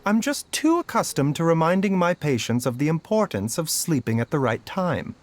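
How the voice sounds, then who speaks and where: An actor playing a gentle, scholarly doctor in a fantasy game, speaking in a measured, polite and cautious way. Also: male voice, on the high side, very animated. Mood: gentle, apologetic. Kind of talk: scripted game voice acting. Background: background music.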